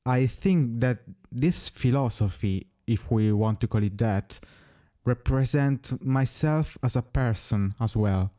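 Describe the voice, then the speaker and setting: A man from Italy, a native Italian speaker, giving measured, carefully worded answers as a musician being interviewed. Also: mid-range voice, mellow. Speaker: musician guest.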